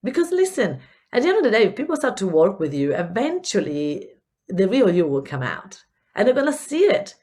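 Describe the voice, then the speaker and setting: A woman's voice: mid-range voice, very animated, a little fast, a little mellow. A middle-aged woman with a mixed Italian and Spanish accent, hosting a solo business podcast in an earnest, encouraging way and often repeating words as she searches for them. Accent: Italian, with a mixed Spanish touch. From Italy. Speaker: podcast host, business coach.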